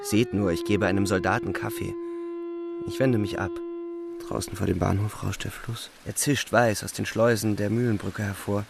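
An actor playing a weary, haunted young soldier, narrating a radio play in brooding, restrained short sentences. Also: male voice, fairly low pitch, fairly even intonation, moderate pace, gravelly, over music. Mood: wistful. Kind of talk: literary radio play narration, inner monologue.

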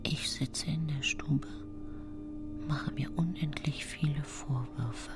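An actress playing a scheming, self-pitying nineteenth-century murderess who tells her own case in a hushed, brooding radio play monologue. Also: low voice, slow pace. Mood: grieving, hushed. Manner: hushed, brooding, often whispering. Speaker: radio drama actress narrating. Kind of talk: intimate first-person radio play monologue. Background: music.

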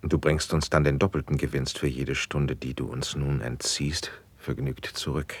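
Gracious prince in old verse radio play, super deep husky voice, formal and deliberate. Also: male voice, fairly even intonation. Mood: gracious, fond. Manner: formal, stately, deliberate declamation. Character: gracious, measured prince, patron of a poet. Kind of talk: classic German verse radio drama acting.

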